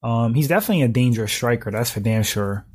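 A slightly hoarse man in his thirties, hosting a livestream and chatting casually while reading out viewer comments, often clearing his throat. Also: medium pitch, fast. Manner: relaxed slangy talk, frequent throat clearing. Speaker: livestream host reading chat. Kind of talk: casual chat.

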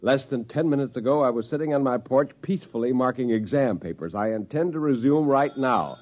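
An actor playing a skeptical small-town psychology professor in a 1950s radio drama, with a dry, patient, dismissive manner. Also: male voice, medium pitch, fairly quick, darkish tone, close to an everyday voice. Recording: dull vintage radio recording.

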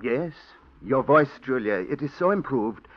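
A man's voice: medium pitch, lively intonation, unhurried. A man playing a timid, devoted singing teacher and secret lover in a 1940s radio drama, speaking tenderly and often stammering. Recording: dull old radio broadcast recording.

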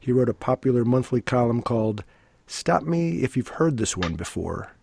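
A man hosting a music interview podcast, sounding stuffy from a cold and reading his guest's background in a measured, formal way. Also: medium pitch, sing-song, creaky, darkish tone, slight background noise. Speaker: podcast host.

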